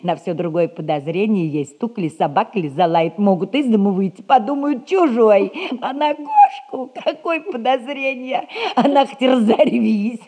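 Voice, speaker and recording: A cheeky, scheming old nanny in a radio stage comedy. Her slightly high voice has a husky rasp, and she banters briskly in a folksy way. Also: animated, dark tone. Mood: playful, conspiratorial.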